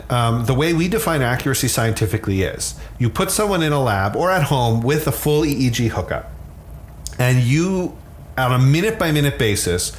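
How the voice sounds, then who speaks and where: A man's voice: mid-range voice, a little hiss or hum. A man explaining science conversationally as an expert guest, candid, often repeating words as he starts a thought.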